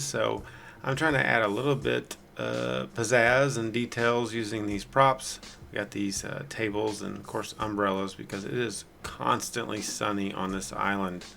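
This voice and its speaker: Hoarse, gravelly man narrating gameplay. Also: fairly low pitch, a bit bright. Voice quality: raspy. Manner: rambling, self-deprecating, dry sarcasm. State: hoarse from singing the night before. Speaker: gameplay video host. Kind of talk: casual gameplay commentary.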